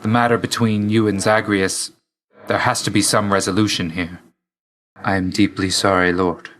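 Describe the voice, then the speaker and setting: A deep-voiced god of death played by a male actor for a video game. He is dutiful and reserved, with a measured, formal delivery. His manner stays understated, weary yet quietly fond. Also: level tone, close to an everyday voice. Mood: solemn, pleading. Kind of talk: video game character voice lines.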